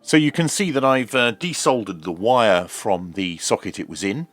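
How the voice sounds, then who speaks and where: Deep voiced guy explaining a repair as he goes, ums a lot, bit halting, music in the background. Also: animated, fairly bright tone. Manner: hesitant, piecemeal phrasing with frequent ums. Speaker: presenter of radio review and repair videos. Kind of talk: hands-on tutorial commentary, unscripted.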